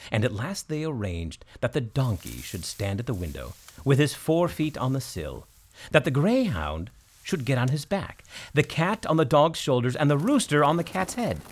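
Expressive male storyteller with lively pitch. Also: normal speed, slight background noise. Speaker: storyteller, narrator. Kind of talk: scripted storybook narration.

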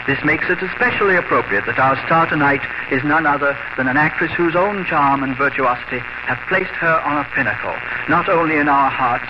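A man hosting and narrating a vintage radio drama in a measured, cultivated way. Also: medium pitch, very noisy. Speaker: program host and narrator. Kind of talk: formal radio introduction and narration. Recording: dull vintage radio broadcast with music.

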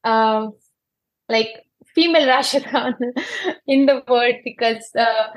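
A woman's voice: fairly high pitch, fairly even intonation, slow pace. A 21-year-old woman with an Afghan accent, speaking English as a learned language and searching for words as she answers interview questions. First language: not English. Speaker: interview guest, cricketer.

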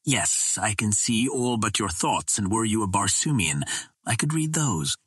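A man's voice: fairly low pitch, expressive, unhurried, fairly bright tone, breathy edge, no room sound, clean studio sound, full character voice. A man voicing a probing, mysterious old Martian host in a storybook, speaking in formal, declarative sentences. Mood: matter-of-fact.

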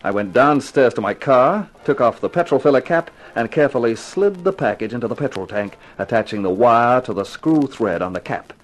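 Hardboiled, cool-headed male private investigator, played by an actor, terse and wry, medium-pitched, animated 1950s radio style. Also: a little fast, few pauses, lightly acted, poor audio. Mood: matter-of-fact. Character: tough, cool-headed male private detective narrating his case. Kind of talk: 1950s radio detective drama acting.

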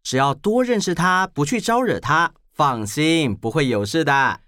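Woman, mid pitch, very lively intonation, playful educational explainer, dry close recording. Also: unhurried, dry recording. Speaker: children's podcast presenter.

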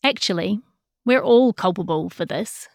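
A woman's voice: medium pitch, expressive, measured pace, no room sound, studio quality. A woman with a New Zealand accent reads a written news feature as a journalist, in scripted narration.

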